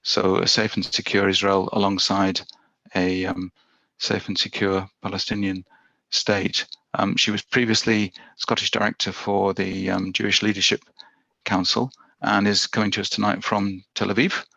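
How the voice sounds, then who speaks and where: A man hosting a webinar, introducing a speaker in a halting way with many uhs and ums. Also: deep voice, level tone, creaky, bright, forceful tone, no echo. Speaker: webinar host. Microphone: video call. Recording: dull, dry video-call audio.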